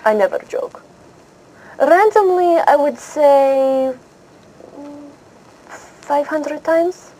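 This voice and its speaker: A woman acts out a haughty, mysterious female spy from a pulp-fiction spoof. Her voice sits fairly high, with a deadpan, imperious delivery that takes offence easily. Some noise runs behind her. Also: drawn-out words, pauses often, poor audio. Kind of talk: scripted mock interview in pulp-fiction style. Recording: clear background noise.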